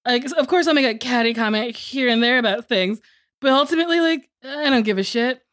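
Woman co-host, fairly high voice, dry close sound, blunt and profane, a little tipsy. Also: fairly quick, no echo. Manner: frank, swears freely, animated asides. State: tipsy from drinking. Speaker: podcast co-host. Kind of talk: casual chat.

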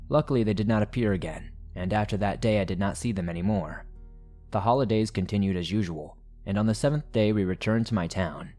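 Male narrator for scary first-person stories, lowish register, deliberate scripted read with soft music underneath. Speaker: narrator of listener-submitted horror stories. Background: background music.

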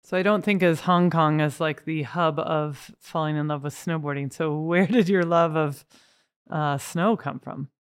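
A woman hosting a podcast interview, upbeat and friendly and quick to laugh, chatting in person by a fireplace. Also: sits low, steady tone, clear, resonant tone. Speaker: podcast host. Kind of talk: casual interview chat. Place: indoors by a fireplace.

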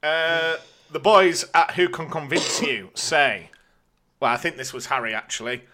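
British man, bright-voiced podcast banter. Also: a little high, lively intonation, measured pace, a little hiss or hum. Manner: jokey, colloquial. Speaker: podcast host reading listener questions. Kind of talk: casual banter.

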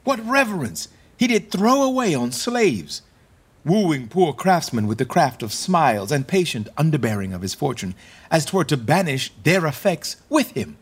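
A man's voice: a little high, sing-song, normal speed, breathy edge. A man playing a vain, callous young king in a Shakespearean radio drama, sneering and mimicking theatrically in verse. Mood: mocking, scornful. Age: young adult.

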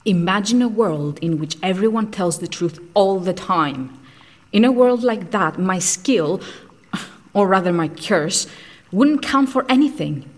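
A woman's voice: mid-range voice, expressive, a little slow. An actress playing a frank, socially outcast woman scientist in an audio drama, speaking in a blunt, matter-of-fact way. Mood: bitter, earnest.

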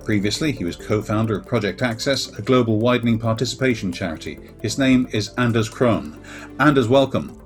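Low-ish voiced guy hosting a tech podcast, dry wry asides, music playing under him. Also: brisk. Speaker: podcast host. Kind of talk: podcast intro and interview questions.

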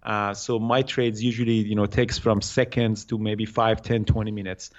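A man in his thirties from Iran with an Iranian accent, answering interview questions haltingly with many fillers and restarts. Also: lowish voice, flat delivery, highs cut off. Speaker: guest day trader.

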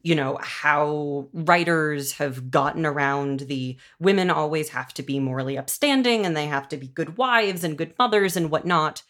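A woman speaking casually and with opinions as a podcast interviewer and showrunner, in an animated, conversational way. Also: deep voice.

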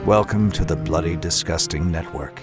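A man's voice: sits low, normal speed. A man delivering an ominous scripted network introduction as an announcer. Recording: music under the voice.

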